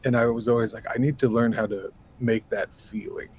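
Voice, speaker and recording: A man in his thirties from New York State with a low, raspy voice, a musician guest talking casually in an interview, animated and rambling with lots of fillers. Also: brisk, slight background noise.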